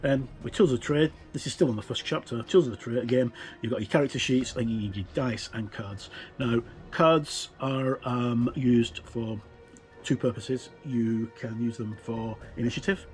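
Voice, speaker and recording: A middle-aged man talks through material in a casual, explanatory way. His voice sits at an average pitch, and he often hesitates as he finds his words. Music plays behind him. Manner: informal, explanatory, halting with frequent hesitations. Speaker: tabletop game reviewer. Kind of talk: casual unscripted walkthrough commentary.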